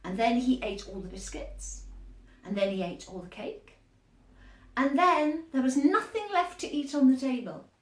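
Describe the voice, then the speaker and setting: A woman reading picture books aloud to young children in an animated storytime style, pausing to explain and ask encouraging questions. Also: high-pitched, roomy sound, rough recording.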